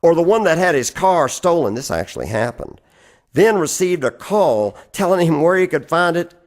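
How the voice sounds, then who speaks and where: A man performing a dramatic spoken-word poetry reading, phrasing deliberately and with theatrical emphasis. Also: fairly high pitch, big pitch swings. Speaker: reader of poetry.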